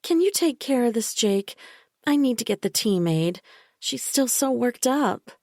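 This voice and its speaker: A woman's voice: a little high, sing-song, measured pace, darkish tone, no room sound, clean studio sound, pitched above the performer's own voice, exaggerated voice. A woman voicing a controlling woman caretaker who talks in a thick, sickly sweet, cooing voice. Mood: cloying.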